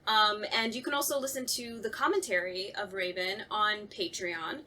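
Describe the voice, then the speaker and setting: A woman's voice: medium pitch, fairly even intonation, normal speed, sharp tone. A woman hosting a podcast, talking casually and addressing the listeners directly. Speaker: podcast host.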